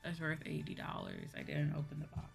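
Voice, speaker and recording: A woman's voice: low-pitched, huge pitch swings, brisk, gravelly, husky. A young woman livestreamer chatting casually in a slangy, exclamatory way, like a VTuber talking to her viewers. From United States. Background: background music.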